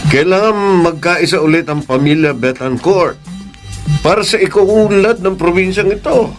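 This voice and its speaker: An actor playing a persuasive, easygoing adult grandson of a political family in a radio drama. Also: male voice, high voice, normal speed, faint music behind, close to an everyday voice. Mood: earnest. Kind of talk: Philippine radio drama acting.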